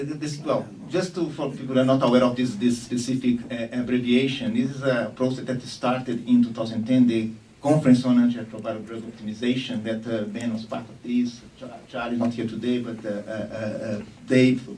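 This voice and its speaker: A man giving a formal technical presentation at a conference meeting, speaking in a measured, halting way. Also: medium pitch, fairly even intonation, a little mellow, slight background noise. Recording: distant room microphone.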